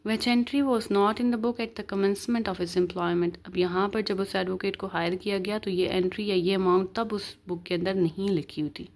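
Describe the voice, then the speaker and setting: A woman's voice: medium pitch, steady tone, unhurried, hardly any pauses, darkish tone. A woman with a Pakistani accent giving an explanatory lecture as a law teacher, in a didactic way with short check-in tags. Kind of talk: explanatory lecture, reading statutes aloud and explaining them.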